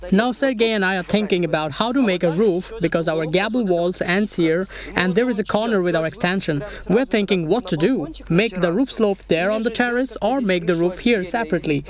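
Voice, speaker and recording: A man's voice: high-pitched, fairly quick, hardly any pauses, crowd noise. A young man explaining a building project step by step in a casual outdoor vlog in a forest. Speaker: vlogger building a treehouse.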